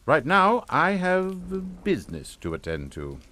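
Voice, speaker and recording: Smooth, swooping patriarch. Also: male voice, high-pitched, wildly swooping pitch, a little slow, sharp tone, full character voice. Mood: smoothly genial. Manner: formal, falsely jovial and pompous. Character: genteel English family patriarch, smoothly hypocritical and unflappable. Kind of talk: radio suspense drama acting.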